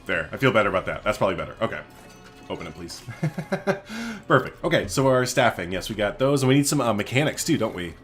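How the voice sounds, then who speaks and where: Male gaming host, casual and chatty, lower register with lively intonation, thinking aloud over faint music. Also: fast talker. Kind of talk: casual let's play commentary.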